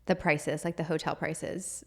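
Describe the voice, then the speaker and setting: Slightly hoarse chatty woman podcast co-host. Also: deep voice, flat delivery, a little slow. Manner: chatty, laughs often, lots of "like". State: slightly hoarse, froggy throat. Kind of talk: casual chat.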